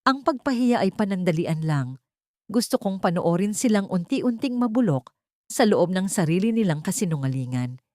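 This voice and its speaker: Brief: woman narrator as vengeful rich female executive, cutting and controlled, lively pitch movement, close dry audio-drama sound. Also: normal speed, no room sound, lightly acted. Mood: cold, bitter. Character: cold vengeful wealthy female boss, a betrayed wife.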